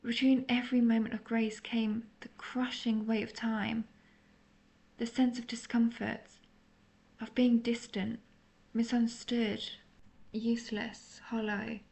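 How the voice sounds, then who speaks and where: A woman voicing a tender, melancholy centuries-old vampire lover in an intimate audio roleplay, speaking softly and confessionally, at times nearly whispering. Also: fairly high pitch, level tone, measured pace, creaky. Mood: melancholy, lonely. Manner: soft, confessional, partly whispered.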